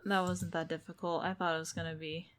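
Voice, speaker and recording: A woman's voice: fairly low pitch, flat delivery, fast talker, slight background noise. A woman giving casual playthrough commentary, thinking aloud as she plays. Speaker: game streamer.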